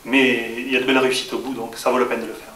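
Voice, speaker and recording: A man's voice: mid-range voice, little pitch movement, rapid-fire, bright, forceful tone, recorded from a distance. A man from southwest France answering interview questions as a franchise shop owner. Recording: echoey room, off-mic, faint hiss.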